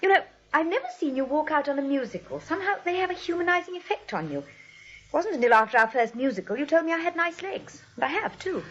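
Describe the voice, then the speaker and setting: High, quick, pert sweetheart. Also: female voice, lively intonation. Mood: teasing, flirtatious. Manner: quick-witted, teasing, bright repartee. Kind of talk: 1940s radio comedy acting. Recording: dull, lo-fi vintage radio recording.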